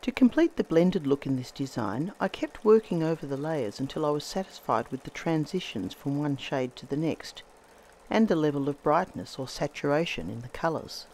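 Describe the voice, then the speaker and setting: Croaky woman, lively pitch. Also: normal speed, a little hiss or hum, low-quality recording. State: croaky from laryngitis. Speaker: textile artist host. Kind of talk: scripted demonstration narration.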